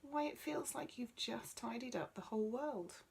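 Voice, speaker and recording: A woman's voice: on the high side, moderate pace, creaky, darkish tone, breathy edge, pitched above the performer's own voice, exaggerated voice. A woman voicing a male talking magic tree in a children's story, wise and bossy yet kindly, with an instructive manner. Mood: cheerful, proud. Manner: chanting rhymes, instructive.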